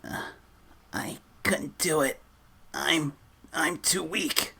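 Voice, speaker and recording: A man voicing an angry, anguished young man in a melodramatic amateur demo reel, with a raspy, strained voice. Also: fairly high pitch, drawn-out words, sharp tone, voice pushed up, full character voice.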